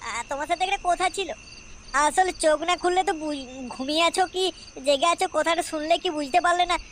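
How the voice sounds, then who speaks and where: Woman as frustrated young Bengali man, comedy cartoon, high whining mutter, stammering, faint background noise. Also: fast talker, a bit bright. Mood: nervous stammering. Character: crude, frustrated young Bengali son-in-law.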